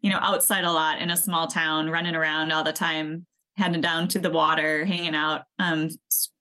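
A woman's voice: deep voice, little pitch movement, moderate pace, sharp tone. A young woman from northern Wisconsin, a podcast guest talking casually with frequent yeahs and ums. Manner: friendly, laughing, fills with um and yeah. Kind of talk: casual interview.

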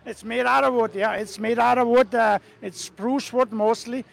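An older man from Switzerland with a Swiss accent, an alphorn player answering questions in a friendly, plain-spoken, halting way at an outdoor festival. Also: very high-pitched, fairly even intonation, vocal fry. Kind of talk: casual outdoor interview.

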